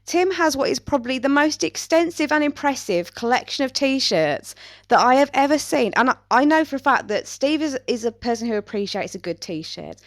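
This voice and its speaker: Female podcast host, fairly high voice, dry close sound, chatty with a wry edge. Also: sing-song, normal speed, dry recording. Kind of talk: casual podcast chat.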